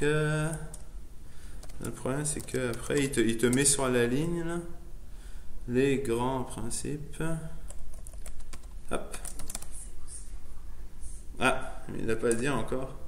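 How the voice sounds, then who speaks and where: A man, a non-native French speaker, giving a loose, chatty software demo full of fillers and restarts. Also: medium pitch, takes it slowly, long pauses. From immigrant to Canada. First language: not French. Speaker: presenter showing his editor setup. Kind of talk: casual live software demo. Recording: echoey room, faint noise, keyboard typing.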